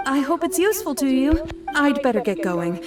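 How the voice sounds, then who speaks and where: Polite, animated, higher-pitched scholar. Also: female voice, normal speed, distant mic, music in the background. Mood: apologetic, polite. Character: hurried, apologetic, polite scholar. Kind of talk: video game voice acting.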